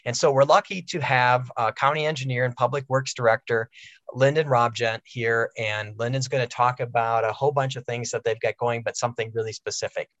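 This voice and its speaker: A man's voice: mid-range voice, steady tone, brisk, fairly bright tone, no echo, dull audio. A man hosting an interview, asking friendly, loose questions with fillers. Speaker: interview host. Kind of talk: casual interview.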